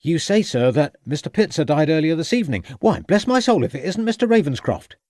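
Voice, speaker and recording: A man's voice: fairly high pitch, sing-song, a little fast, a little mellow, no room sound, studio quality, pitched above the performer's own voice. A man voicing a hearty, deferential rural police constable in an audiobook mystery. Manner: bluff, deferential.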